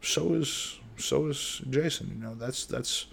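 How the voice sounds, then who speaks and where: A middle-aged man from the United States hosting a casual solo podcast in a monotone voice, rambling with lots of fillers and restarts. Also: mid-range voice, takes it slowly, creaky, slightly rough. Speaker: podcast host.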